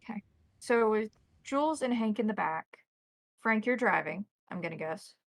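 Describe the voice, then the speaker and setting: A woman runs a tabletop roleplaying game, describing scenes and checking details with the players. Her voice sits at an average pitch, recorded very dry with no room sound. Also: animated, moderate pace, vocal fry, dry recording. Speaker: game master.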